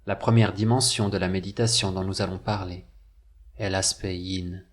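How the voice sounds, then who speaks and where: Low-pitched male meditation narrator. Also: fairly even intonation. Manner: measured, deliberate phrasing with pauses. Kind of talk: scripted guided meditation narration.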